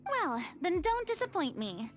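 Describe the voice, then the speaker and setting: A woman's voice: very high voice, very animated, unhurried, faint music behind, exaggerated voice. A showy, grandiose young noblewoman. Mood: commanding. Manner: showy, dramatic delivery. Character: theatrical young woman, grand and self-assured patron. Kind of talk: game voice acting.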